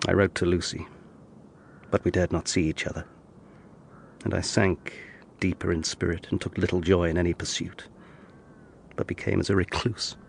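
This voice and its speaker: A troubled young gentleman in a period radio drama. His deep voice is restrained, formal and melancholy. There is slight ambient noise behind it. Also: level tone, normal speed, vocal fry, long pauses. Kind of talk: first-person radio drama narration and dialogue.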